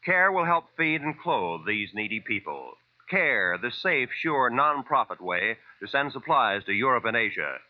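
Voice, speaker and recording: A man's voice: medium pitch, no room sound. A man with an American accent reading scripted announcements and appeals in a formal 1940s radio style. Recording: muffled dry vintage radio recording.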